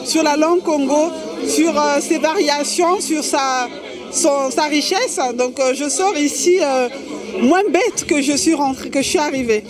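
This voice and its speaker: A woman speaks to a camera at an event, hesitating and correcting her wording as she goes. Her voice is high. Crowd chatter fills the space around her. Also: a little slow. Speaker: conference attendee. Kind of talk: spontaneous remarks to a camera.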